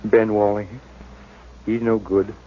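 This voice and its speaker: Slow, weak, dying American rancher. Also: male voice, fairly low pitch, little pitch movement. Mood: worried, pleading. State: weak, labored. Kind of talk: 1950s radio western acting. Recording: dull old radio recording, faint noise.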